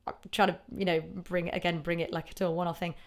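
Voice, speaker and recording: A woman's voice: on the low side, little pitch movement, quick pace. A British woman in her thirties, a stand-up comedian chatting casually and reflectively as an interview guest. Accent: British English. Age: 30s.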